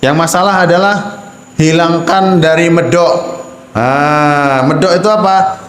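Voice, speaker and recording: A man's voice: a little high, fairly even intonation, a little slow, fairly bright tone. Echoey Javanese man teaching in a mosque. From Java, Indonesia. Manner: explains patiently with rhetorical questions, coughs now and then. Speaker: Quran recitation teacher. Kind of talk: teaching imams and preachers, with sung Quran recitation examples. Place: mosque hall. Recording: echoey mosque hall, faint background hum.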